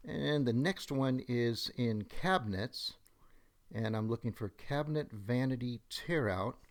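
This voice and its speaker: A middle-aged man narrating a software demonstration step by step, methodically thinking aloud as he goes. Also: mid-range voice, expressive, measured pace.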